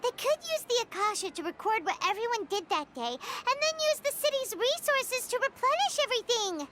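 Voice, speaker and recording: Tiny squeaky game sidekick girl, super high, wildly swoopy and excitable. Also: brisk, heavy background noise. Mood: eager. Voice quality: squeaky, childlike. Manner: excitable, exclamatory, dramatic. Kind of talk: anime video game voice acting.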